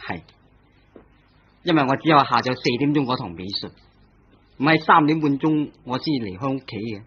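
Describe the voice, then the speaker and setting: An actor playing an irritable husband who becomes a murder suspect in a vintage radio drama, with a grumbling, defensive manner. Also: male voice, mid-range voice, lively intonation, normal speed, close to an everyday voice. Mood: subdued. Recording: dull lo-fi old radio recording with faint hiss.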